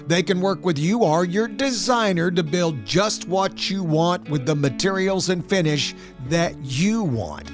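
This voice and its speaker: American male ad reader, scripted sponsor message, fairly high pitch, over a guitar music bed. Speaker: sponsor announcer. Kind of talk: scripted advertisement read.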